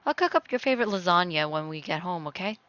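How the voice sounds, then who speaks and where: A woman playing a caring, anxious, gentle mother in a dramatic story reading. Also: on the low side, animated, fast, no room sound, muffled recording. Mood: soothing.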